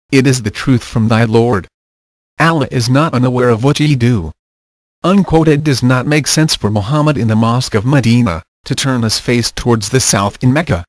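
Man reading a measured, dry voice-over. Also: mid-range voice, dry recording. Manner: measured reading with quoted scripture. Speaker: narrator. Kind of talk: scripted narration over slides.

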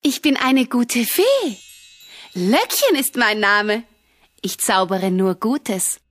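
Children's radio play: dutiful little fairy, high-pitched, animated, prim and preachy with a touch of grievance. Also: female voice, slow pace, soft background music, exaggerated voice. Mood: prim, proud. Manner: prim, moralizing, indignant. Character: prim, dutiful, aggrieved female good fairy.

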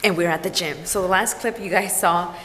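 A woman's voice: on the low side, a little fast, background noise. A young woman and mother vlogging to viewers in a chatty, upbeat way, holding the camera at arm's length. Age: young adult. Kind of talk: casual family vlog. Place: store, park and gym. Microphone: handheld camera at arm's length.

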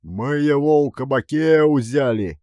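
A man voicing a boastful, excitable rustic coachman with a Ukrainian accent in an audiobook. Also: mid-range voice, measured pace, dry recording, lo-fi sound, pitched above the performer's own voice, natural voice. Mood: excited, boastful. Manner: feverishly proud, folksy. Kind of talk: acted audiobook character.

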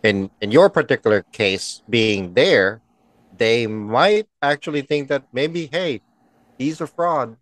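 A man's voice: medium pitch, expressive, slow pace, dry recording. Man born in the Philippines, podcast co-host, blunt, teasing, swears casually, dry close mic. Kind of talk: casual chat. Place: bright home room, indoors. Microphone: headset and studio mic on video call.

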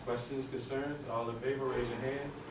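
A man's voice: mid-range voice, level tone, normal speed. A man with a Southern American accent chairing a council meeting, calling motions and votes, recorded from across a small meeting room. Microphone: far room camera mic. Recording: echoey room from far off, background noise.

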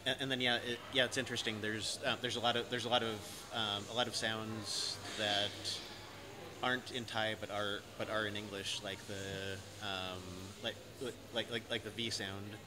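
A man's voice: fairly low pitch, flat delivery, normal speed. Man, American accent, 40s, chatty, many restarts, cafe background noise. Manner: repetitive restarts, chatty and amused. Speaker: interviewer, host. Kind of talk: casual interview conversation. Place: cafe by large windows, indoors. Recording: noisy cafe ambience.

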